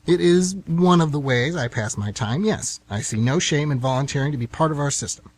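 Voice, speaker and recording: Man playing a pompous middle-aged man, animated, slightly husky. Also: on the high side, a little fast. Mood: indignant, lofty. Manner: pompous, grandiloquent, indignant. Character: pompous, defensive 52-year-old aspiring novelist man. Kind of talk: amateur radio comedy play acting.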